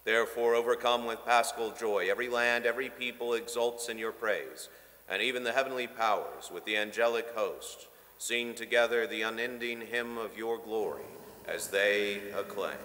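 A low-voiced priest in his thirties recites the Mass prayers with a bright, forceful tone, slowing solemnly on key phrases. His voice rings out through the long reverb of a large marble church, picked up by a stand microphone at the altar. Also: slight background noise.

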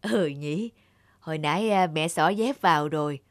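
A woman's voice: low-pitched, moderate pace, no echo, studio quality, lightly acted. Actress as a humble peasant mother in a cartoon. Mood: gentle, evasive. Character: humble, caring middle-aged peasant mother, shy and self-effacing. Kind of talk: animated moral tale acting.

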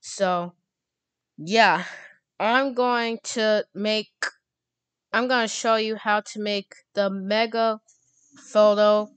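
Female tutorial host, casual and halting, clear-voiced, closely recorded with no room sound. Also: medium pitch, a bit bright, dry recording.

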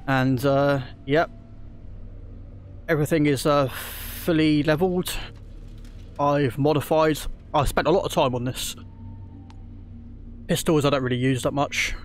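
A man's voice: on the high side, steady tone, measured pace, pauses often, slight background noise. A man giving casual let's-play commentary, rambling with lots of ums and restarts, recovering from a throat infection. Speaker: gaming YouTuber.

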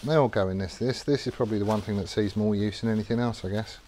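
A man's voice: on the low side, fast, mellow, a little hiss or hum. A middle-aged man casually explaining his tool care while working in a garage workshop, rambling and matter-of-fact with many ums. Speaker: workshop vlogger.

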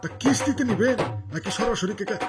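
Man playing a condescending shopkeeper, cartoon, very high, quick. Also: animated, loud music behind the voice. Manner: condescending, rustic dialect. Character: sly fish-stall shopkeeper, adult man.

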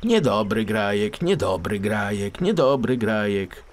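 A man's voice: lowish voice, very animated, a little slow. A man voicing a plucky, teasing dancing fish in a thin, exclamatory voice for a children's storybook. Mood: mocking chant.

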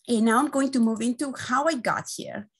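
Earnest woman presenting, halting. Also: fairly high pitch, husky. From Guatemala. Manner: earnest, halting, with frequent "uh" fillers and restarts. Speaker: engineering team lead. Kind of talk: webinar presentation over slides. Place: indoor room. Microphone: video call webcam.

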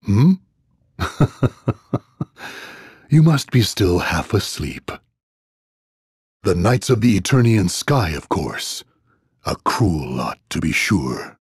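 An actor playing a kindly, fatherly middle-aged innkeeper in a video game, gentle and folksy in manner. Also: low-pitched, very animated, takes it slowly, pauses often, slightly rough, dry recording, clean studio sound, full character voice. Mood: puzzled, evasive.

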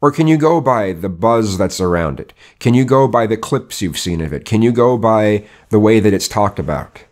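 Hoarse middle-aged man venting opinions. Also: fairly low pitch, expressive, moderate pace, hardly any pauses. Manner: rambling, frequent restarts and rhetorical questions. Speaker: vlogger. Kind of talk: casual opinion monologue to camera. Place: indoor studio with colored backdrop.

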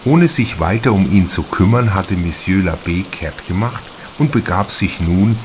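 Male audiobook narrator, very low voice, measured literary reading, deliberate pauses, faint background noise. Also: animated. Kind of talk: scripted literary narration of a crime novel.

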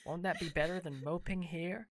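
A man's voice: on the high side, steady tone, normal speed, no echo, lightly acted. An actor playing a charming, playful young man in an audio drama, coaxing and kind. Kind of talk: amateur audio drama acting.

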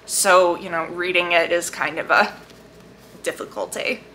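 A woman book vlogger chatting casually and enthusiastically to camera, leaning hard on emphatic, drawn-out words. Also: on the low side, steady tone, bright, forceful tone, slight background noise, poor audio. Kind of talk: casual chat to camera about books.

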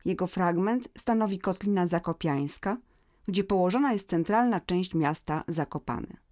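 A woman narrating a scripted educational audio guide in a measured, informative manner. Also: lowish voice, animated, darkish tone, no echo, studio quality.

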